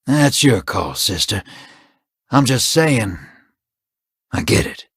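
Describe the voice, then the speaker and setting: An actor playing an easygoing, streetwise space pirate in a video game, casual and wry. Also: male voice, mid-range voice, big pitch swings, slow, creaky, fairly bright tone, slightly rough, no echo, clean studio sound, exaggerated voice.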